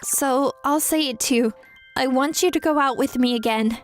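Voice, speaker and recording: The high-pitched, proud and argumentative young girlfriend from a romcom manga dub, acted by a woman, heard over soft music in an online video. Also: normal speed, close to an everyday voice. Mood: earnest, tender. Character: feisty, proud young career woman, secretly vulnerable ex-girlfriend. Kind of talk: dramatized romantic comedy dialogue.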